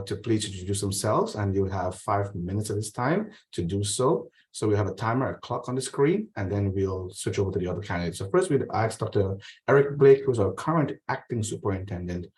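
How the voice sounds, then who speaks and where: Effusive low-voiced meeting host. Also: male voice, animated, fairly quick. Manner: warm, effusive, repeats praise. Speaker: council president hosting town hall. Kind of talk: meeting moderation. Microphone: video call.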